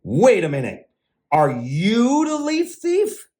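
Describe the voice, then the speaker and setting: A man voicing an anxious, excitable squirrel in a children's storybook, fretful and given to dramatic exclamations. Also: sits high, takes it slowly, heavily acted. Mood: frantic. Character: anxious, excitable squirrel fretting over stolen leaves. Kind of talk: children's picture book read-aloud.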